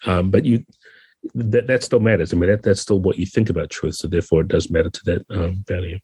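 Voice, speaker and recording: A man with a deep, mellow and slightly husky voice talks as a podcast guest. He explains things methodically and thoughtfully, in a dry, close recording. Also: steady tone, fast talker, no room sound. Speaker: podcast guest, game designer. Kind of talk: explanatory interview talk.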